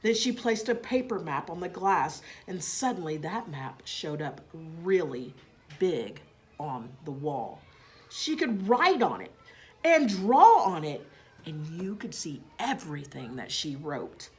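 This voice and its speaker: A woman reading a children's storybook aloud in expressive narration. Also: deep voice, takes it slowly.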